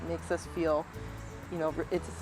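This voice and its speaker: A woman's voice: deep voice, little pitch movement, measured pace, music in the background, rough recording. A woman being interviewed, talking as a volunteer program coordinator. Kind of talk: on-camera interview.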